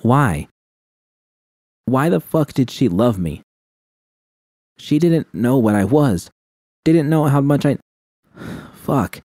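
A man reading first-person fan fiction aloud in a quiet, somber, measured way, close to the mic. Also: medium pitch, no echo. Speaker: audiobook reader.

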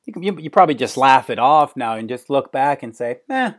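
A man's voice: medium pitch, animated, brisk. A man interviewing a musician, chatty, leading his questions and repeating words as he talks. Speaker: interviewer, rock journalist. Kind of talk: casual interview.

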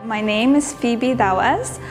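A woman's voice: fairly high pitch, takes it slowly, music in the background. A young woman answering interview questions as a student in a campus stairwell, sincere and reflective. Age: young adult. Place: stairwell of a campus building, indoors. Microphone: lapel.

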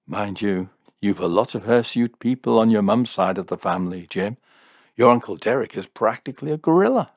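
A man voicing a calm, gently reproving young woman with teasing wit in an audiobook, soft-spoken and matter-of-fact. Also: fairly low pitch, brisk, no echo, clean studio sound, voice pushed up.

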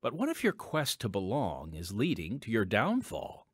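A man's voice: on the low side, wildly swooping pitch, normal speed, slightly rough, no room sound, clean studio sound. A man hosting a podcast, delivering a scripted introduction. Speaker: podcast host. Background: music.

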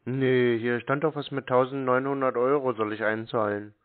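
A man's voice: medium pitch, steady tone, moderate pace. A man in a phone conversation, answering curtly in a contrarian, provocative way. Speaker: prank caller baiting phone scammers. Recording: thin tinny phone line.

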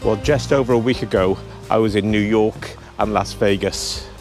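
Male travel vlogger, 50s, casual walk-and-talk outdoors, lowish voice. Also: steady tone, moderate pace, music in the background. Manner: chatty, talking straight to camera while walking. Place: outdoors on a cold hillside. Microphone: handheld camera at arm's length.

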